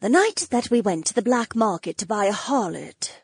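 A woman playing a gentle, earnest, formal noblewoman with a breathy voice in a fantasy audiobook. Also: medium pitch, lively intonation, normal speed, no echo, clean studio sound. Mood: earnest, remorseful. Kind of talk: fantasy audiobook character acting.

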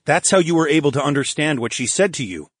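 A calm, insistent male adventurer, played by a man in a fantasy audiobook. He speaks firmly and reassuringly with some huskiness. The recording is dry and close. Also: fairly high pitch, quick pace, dry recording, voice pushed up. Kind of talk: audiobook character dialogue.

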